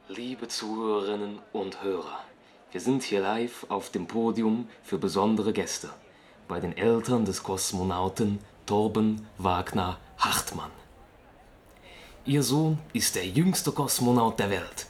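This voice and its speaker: A man playing an excitable live radio correspondent in a radio play. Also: on the low side, slow pace. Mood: solemn. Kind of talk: mock live broadcast reporting, radio play.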